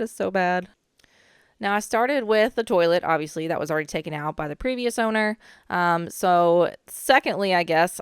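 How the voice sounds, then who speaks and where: A young woman, a little hoarse from a cold, casually narrating her own renovation footage with chatty asides. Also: on the low side, no echo, studio quality. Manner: chatty, relaxed, with frequent "um" asides. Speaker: DIY renovation vlogger.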